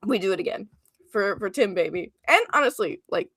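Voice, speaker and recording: A woman with a mid-range voice co-hosts a casual livestream from home. She rambles in a friendly way and fills her pauses with ums and uhs. The sound is dry, with no room echo. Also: mid-range voice, lively intonation, fairly bright tone. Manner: rambling and chatty, full of fillers and laughs. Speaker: livestream co-host.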